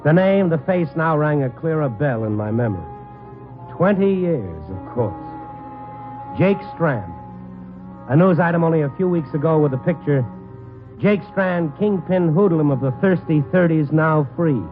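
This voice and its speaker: An American actor playing a wry, hard-boiled night reporter in a 1950s radio drama, both narrating and talking in dry slang. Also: male voice, on the high side, expressive, moderate pace, narrow, tinny audio, over music, close to an everyday voice. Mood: grim, wry narration. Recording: band-limited old radio broadcast recording.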